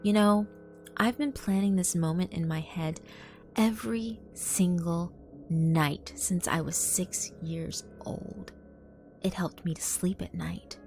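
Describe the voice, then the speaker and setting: A woman voicing a cold, psychopathic young woman who swings between shrieking cackles and hushed menace. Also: on the low side, takes it slowly, faint music behind. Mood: menacing.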